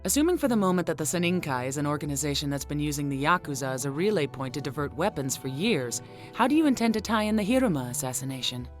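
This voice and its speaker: A woman's voice: deep voice, animated, fast talker, soft background music. A woman playing a composed, decisive special-ops field leader in a video game, speaking in an assured, probing way. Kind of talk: English dub acting in a video game cutscene.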